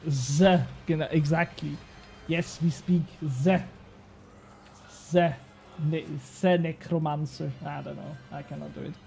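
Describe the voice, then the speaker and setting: Chatty young Swiss man, mellow. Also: fairly high pitch, steady tone, unhurried, vocal fry, faint music behind. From Switzerland. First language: Swiss German. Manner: rambling and self-mocking, swears casually, laughs often. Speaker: game streamer. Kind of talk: casual live-stream chat while gaming.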